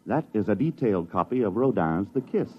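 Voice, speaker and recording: Muffled, animated, boastful American artist. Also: male voice, lowish voice, brisk, husky. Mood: proud, eager. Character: boastful, prosperous male sculptor, slick and self-important. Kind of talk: 1950s radio drama dialogue. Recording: muffled old radio, faint noise.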